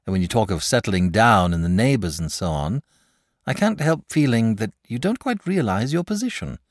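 A man's voice: lowish voice, sing-song, normal speed, no echo, clean studio sound. A man voicing a frank, sensible young boy in a storybook, speaking politely and earnestly. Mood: frank, apologetic. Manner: matter-of-fact, polite, earnest.

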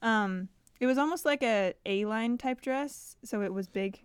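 A woman co-hosting a casual fan podcast, relaxed and a little hesitant, giggling now and then. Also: on the high side, unhurried, smooth, no room sound, studio quality. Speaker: podcast co-host.

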